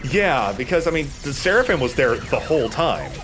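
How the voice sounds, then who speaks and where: A man's voice: on the high side, very animated, a little fast, fairly bright tone, faint music behind. A man doing informal, opinionated commentary over a video game as a let's player.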